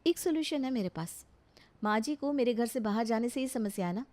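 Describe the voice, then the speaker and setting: A woman acts a young city daughter-in-law in a cartoon family drama. The voice sits fairly high. She sounds dutiful and earnest, with a polite but plaintive, put-upon manner. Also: expressive, fairly quick, darkish tone, close to an everyday voice. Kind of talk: Hindi animated family story acting.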